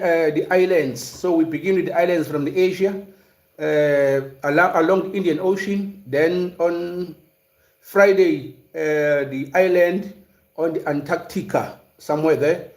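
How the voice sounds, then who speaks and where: A man's voice: on the high side, darkish tone. A man from South Africa hosting a casual livestream, talking through a history topic and reading viewer comments, with lots of fillers and restarts. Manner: hesitant, many fillers and restarts. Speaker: livestream host. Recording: roomy echo.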